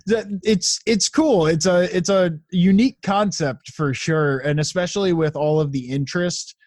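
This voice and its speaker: A man hosts a casual podcast interview in a voice a little higher than average. The sound is dry, with very little room in it. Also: normal speed, a little mellow, no echo. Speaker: podcast host.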